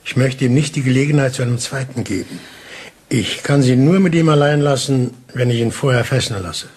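An actor playing a shrewd police inspector in a radio play, speaking deliberately and theatrically with taunting insinuation. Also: male voice, mid-range voice, darkish tone, close to an everyday voice. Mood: commanding. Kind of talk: German radio play acting.

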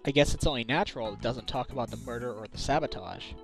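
A man's voice: medium pitch, quick pace, gravelly, soft background music, close to an everyday voice. A man voicing an earnest, determined defense lawyer in a fan-dubbed video game. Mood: curious. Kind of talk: fan dub of a visual-novel video game.

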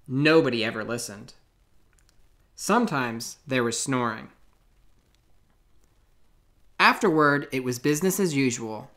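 A man narrating a children's storybook aloud in an expressive, clearly phrased way. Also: medium pitch, normal speed. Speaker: storybook reader.

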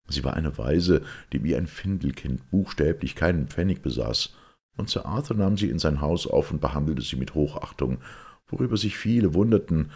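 A man reading a detective story aloud as an audiobook narrator, expressive and measured, with a gravelly, husky voice. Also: very deep voice. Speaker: audiobook reader.

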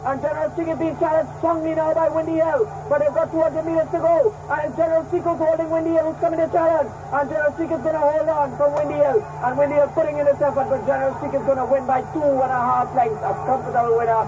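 High-pitched male race caller, fast clipped delivery, distant old broadcast sound. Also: little pitch movement. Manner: rapid, clipped race calling. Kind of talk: horse racing commentary.

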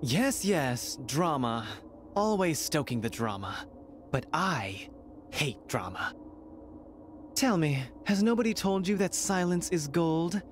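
An actor playing an arrogant, mocking noble heir in a video game, with theatrical, smug sarcasm. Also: male voice, a little high, big pitch swings, slow, a little hiss or hum.

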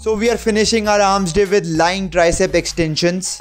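A man's voice: high-pitched, fairly even intonation, very slow, over music. A young man giving an explanatory workout voice-over as an instructor, dropping English gym terms into his sentences. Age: young adult.

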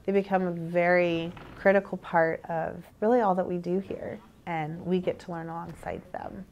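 Earnest American woman, low creaky voice. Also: darkish tone. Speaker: chief video producer. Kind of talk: interview for a short documentary. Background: faint music.

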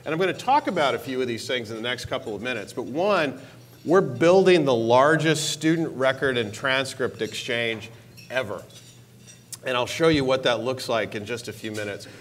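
A man's voice: lively intonation, normal speed. Upbeat man giving a conference keynote. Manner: upbeat, enthusiastic pitch with stressed repeated words. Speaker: keynote speaker, technology executive. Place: large conference hall stage. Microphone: lapel mic.

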